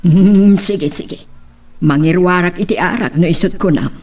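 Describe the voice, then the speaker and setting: A woman's voice: lowish voice, normal speed, darkish tone, recorded from a distance, slight background noise, natural voice. A woman with an Ilocano accent playing a disapproving, nagging middle-aged mother in an audio drama, with an emphatic manner. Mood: obliging. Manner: scolding, emphatic. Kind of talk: amateur audio drama acting.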